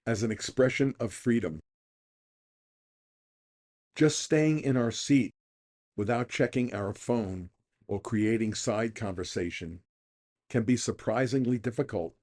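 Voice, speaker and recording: A man's voice: lowish voice, darkish tone. A middle-aged or older man reading a scripted daily reflection for a recovery podcast.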